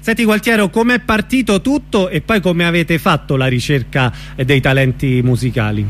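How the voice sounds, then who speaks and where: A man's voice: a little high, normal speed, fairly bright tone, a little hiss or hum. A man hosting a radio interview, putting questions to a guest and reading out event details.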